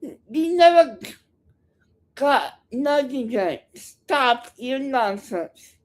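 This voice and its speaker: A woman's voice: sits high, animated, extremely slow, darkish tone. A woman making unscripted reaction commentary, heckling in a combative, scornful and curt manner.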